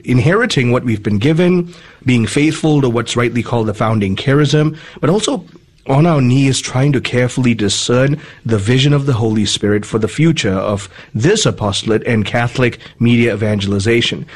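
A man from Malaysia hosting a radio interview, talking earnestly and chattily with frequent restarts, into a studio microphone. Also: mid-range voice. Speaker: radio host.

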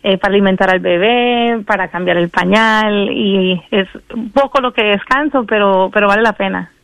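A young woman from the United States with a slight Antioquia Colombian accent, speaking politely and warmly as a guest on a radio interview. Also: medium pitch, moderate pace, vocal fry, no echo. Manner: polite, warm, devout. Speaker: guest singer.

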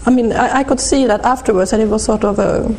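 Swedish-accented woman, fifties, interview guest, mellow tone, hesitant delivery, faint hiss. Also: a little high. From Sweden. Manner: hesitant, halting, many restarts. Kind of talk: reflective personal testimony.

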